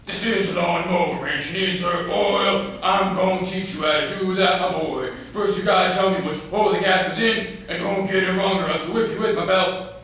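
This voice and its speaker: A fanatical father, bellowing high and forceful in menacing sermon-like threats. It is stage monologue, captured from far off in a very echoey room. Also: moderate pace. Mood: stern, threatening. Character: raging, fanatical, abusive Southern father. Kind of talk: theatrical dramatic monologue. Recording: very echoey room, distant mic, faint noise.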